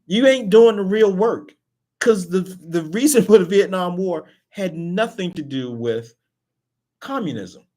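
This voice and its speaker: A man's voice: high voice, lively intonation, unhurried, warm tone. A man hosting an online talk radio show, speaking emphatically in casual chat and often repeating words as he pushes a point. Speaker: host.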